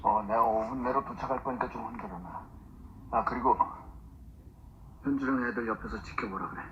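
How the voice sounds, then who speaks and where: A man's voice: mid-range voice, steady tone, rapid-fire, lightly acted. An actor playing a hardened man in a gritty crime drama, heard as muffled playback. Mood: brisk, commanding. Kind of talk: Korean crime drama screen acting. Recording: muffled playback with loud background noise.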